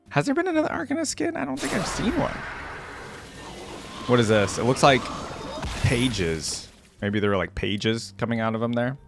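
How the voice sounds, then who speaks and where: Male reaction host, animated pitch, chatty and excitable, faint music underneath. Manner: chatty, excitable, thinks aloud with many fillers. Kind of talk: casual reaction commentary.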